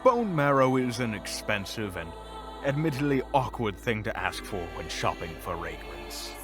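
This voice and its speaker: An actor playing a vain, easily flustered evil sorcerer in a fantasy audio drama, pompous and grandiose with dramatic pauses. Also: male voice, medium pitch, sing-song, music in the background, natural voice. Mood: menacing, mocking. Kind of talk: fantasy audio roleplay acting.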